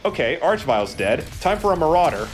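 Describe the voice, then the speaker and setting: A man's voice: on the high side, very animated, fairly quick, faint music behind. A man co-hosting a let's play, casually explaining the game in a joking, conversational way. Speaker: let's play co-host, gamer. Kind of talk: casual gameplay commentary.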